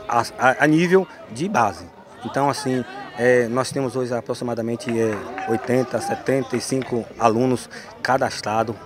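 A man, a youth football coach, answering earnestly with many hesitations into a handheld mic outdoors. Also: medium pitch. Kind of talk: outdoor interview. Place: stadium stands outdoors. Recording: crowd noise from a football ground. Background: crowd at a youth football match.

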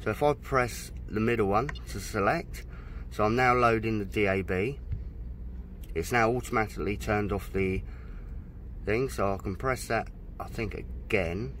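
A man's voice: on the low side, a bit bright, a little hiss or hum. Chatty man demoing gear. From United Kingdom. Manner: chatty, unhurried, reads out menu items. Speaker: boat owner reviewing his stereo. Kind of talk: casual hands-on product demo. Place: outdoors at a boat in a dry stack.

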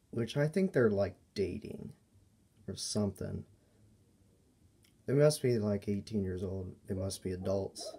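A man giving a casual, unscripted show-and-tell as a toy reviewer, speaking in halting, broken phrases. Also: lowish voice, mellow. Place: indoors at a table by a window.

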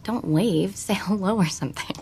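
A woman's voice: mid-range voice, moderate pace, vocal fry, darkish tone, slight background noise, lightly acted. An actress playing an eager, bossy teenage girl hosting an amateur podcast in an audio drama. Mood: eager, organizing. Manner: take-charge, earnest.

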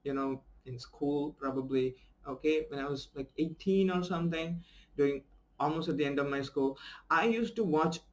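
A man's voice: fairly high pitch, normal speed, poor audio. A young adult man from India, a native Bengali speaker with an Indian English accent, giving an earnest, enthusiastic self-help talk as a life coach and often checking in with "okay?". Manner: earnest and enthusiastic, tags sentences with a questioning "okay?". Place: small room at home, indoors, seated in a desk chair.

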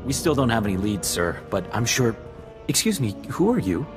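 A dutiful, officious male aide from a video game, played by an actor. His voice is medium in pitch, with clear music behind it. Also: very animated, moderate pace, lightly acted. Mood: grave, officious.

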